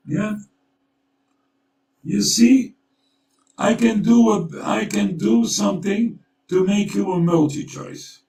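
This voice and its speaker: A man's voice: sits high, takes it slowly, vocal fry, pauses often, mellow, distant mic. A man whose first language is Dutch, speaking English with a Dutch accent, telling a story and urging listeners, often repeating his words. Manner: urgent, storytelling, repeats himself. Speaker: co-host. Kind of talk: earnest conversational appeal. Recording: echoey room, away from the mic.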